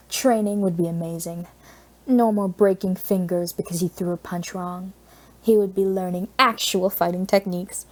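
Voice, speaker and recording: A woman narrates fiction in an expressive audiobook style. Her voice sits at a medium pitch, with a soft, mellow tone. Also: rough recording. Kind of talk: scripted fan fiction narration, expressive storytelling.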